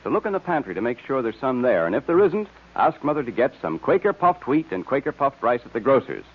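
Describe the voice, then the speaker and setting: Emphatic male vintage radio pitchman, muffled sound. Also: big pitch swings, fast, breathy edge. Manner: emphatic, salesman-like, addressing children. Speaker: announcer and sponsor pitchman. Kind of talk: 1930s-40s radio announcing and advertising.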